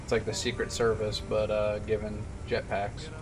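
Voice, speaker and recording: A man's voice: lowish voice, monotone, normal speed. A man in his twenties giving casual commentary as he streams a game. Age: 20s. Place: indoor room. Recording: distant mic under loud game music. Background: loud game music and vehicle noise.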